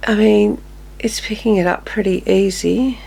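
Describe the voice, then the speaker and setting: A woman in her fifties, still a little nasal, chatting casually through a makeup tutorial with quiet murmured asides. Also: medium pitch, fairly even intonation, measured pace, vocal fry, slightly rough. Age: 50s. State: slightly nasal, recovering from illness. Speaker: beauty vlogger.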